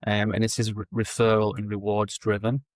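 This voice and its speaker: Male guest, low and flat delivery, casual and steady. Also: a little fast, no echo, studio quality. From England. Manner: relaxed, steady, frequent ums. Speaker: guest, recruitment founder. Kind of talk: casual interview answers.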